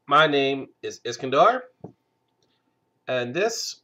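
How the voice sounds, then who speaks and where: A man hosting a game let's play, stuffed up from a cold, talking slowly with many hesitant fillers. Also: medium pitch, sing-song, sharp tone. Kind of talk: casual gameplay commentary.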